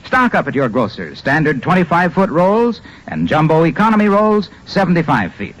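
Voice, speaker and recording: An American man announcing a 1950s radio advertisement in a polished sales-pitch manner. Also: sing-song, fairly quick. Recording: muffled old radio recording.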